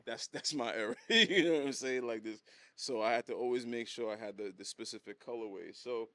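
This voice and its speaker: A man's voice: medium pitch, brisk, bright, forceful tone, no room sound. A man in his thirties, born in Haiti and raised, telling his story as a podcast guest in a laid-back way, chuckling often and repeating words as he goes. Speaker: guest, hat brand designer. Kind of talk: casual interview storytelling.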